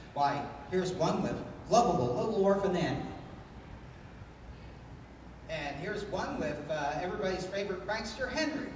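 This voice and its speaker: A man announcing and narrating an old-time radio adventure serial and its sponsor pitch in a theatrical style. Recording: echoey hall from far off, noisy.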